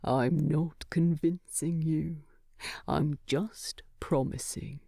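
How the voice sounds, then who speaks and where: Woman voicing a slow, low, tender dwarf king. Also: steady tone, vocal fry, warm tone, no echo, clean studio sound, heavily acted. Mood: earnest, adoring. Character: gruff, tender, lovestruck male dwarf king.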